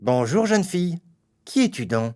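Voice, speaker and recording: A man's voice: a little high, very animated, normal speed, dark tone, soft background music. A man voicing a friendly, naive talking scarecrow in a children's cartoon story. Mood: curious. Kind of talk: children's audio story acting.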